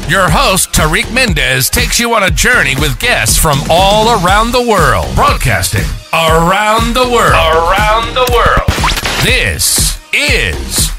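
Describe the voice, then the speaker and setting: A woman reading a scripted podcast intro in a slow, drawn-out announcing manner. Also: medium pitch, very animated. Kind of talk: scripted intro over music. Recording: loud music under voice.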